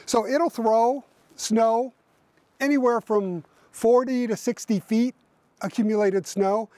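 A man's voice: sits high, a little mellow, no room sound. A man in his fifties reviewing his tractor outdoors by a barn in winter, explaining casually with lots of fillers and restarts. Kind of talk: casual equipment review to camera.

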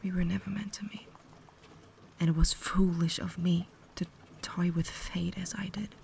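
A woman's voice: fairly low pitch, level tone, a little mellow, a little hiss or hum. Whispering, cultured vampire lady acted by a woman. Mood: sorrowful, caring. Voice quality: breathy whisper. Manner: formal, measured, earnest diction. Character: cultured, caring female vampire lady, restrained and tormented. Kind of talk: whispered ASMR roleplay acting.

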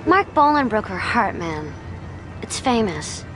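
A woman's voice: medium pitch, big pitch swings, measured pace, over music, rough recording. An actress in a film clip playing an admiring young woman who talks up her friend. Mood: insistent.

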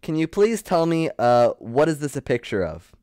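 Young man hosting a mock quiz, deadpan. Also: a little high, normal speed, noisy background. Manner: deadpan mock-formal, breaks into laughter. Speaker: quiz host. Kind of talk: mock game show hosting on a voice call.

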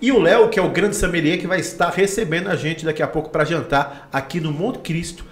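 A man's voice: fairly high pitch, fairly quick, roomy sound. A middle-aged man, presenting conversationally as a podcast host. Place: small podcast studio. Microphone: close podcast microphone on boom arm.

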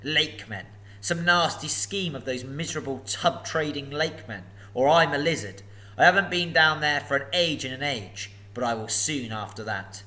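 A man voicing a sly, menacing old male dragon, slow and mocking. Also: on the high side, pushed and bright. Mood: sneering.

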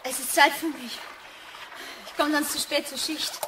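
Coquettish young woman, high voice, splashing water behind, noisy 1980s radio play. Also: steady tone, a little slow, sharp tone. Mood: coy. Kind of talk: 1980s German sci-fi radio drama acting.

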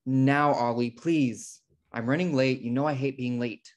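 An uptight, punctual young American schoolteacher, played by a man in a comedy table read. The male voice is mid-pitched, fussy and exasperated. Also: steady tone, measured pace, lightly acted. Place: indoor room, video call.

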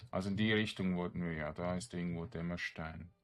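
A man's voice: low-pitched, steady tone, a little fast. A man giving casual let's play commentary, murmuring to himself in short remarks. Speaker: gamer, let's play host. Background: game music.

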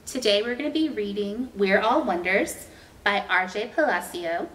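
A young adult woman reading a children's picture book aloud as a storytime host, with deliberate, expressive storytelling for young children. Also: medium pitch. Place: indoor room with painted mural. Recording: roomy, distant mic, faint noise.

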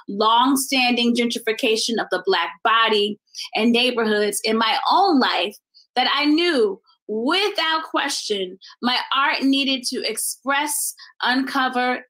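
A middle-aged American woman giving a prepared thank-you speech in deliberate, measured phrases. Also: on the high side, fairly bright tone, rough recording. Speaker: artist giving thanks at an exhibition opening. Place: indoor room at home, video recording.

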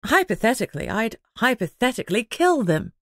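A woman's voice: fairly high pitch, big pitch swings, a little fast, no echo, clean studio sound, pitched above the performer's own voice. A woman voicing a protective middle-aged mother in an audiobook, matter-of-fact and fussing.

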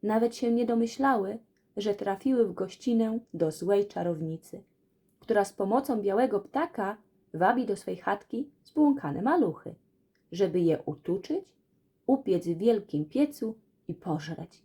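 A woman narrating a children's fairy tale as a storyteller, in an expressive scripted reading. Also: medium pitch, a little slow, breathy edge.

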